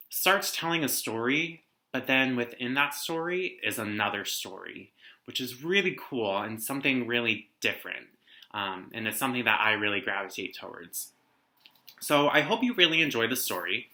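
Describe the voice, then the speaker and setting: A young man hosts a casual read-aloud for students. His voice is bright and mid-pitched. The sound is roomy, as if recorded in a living room. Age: young adult. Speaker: read-aloud host, teacher. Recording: roomy indoor echo.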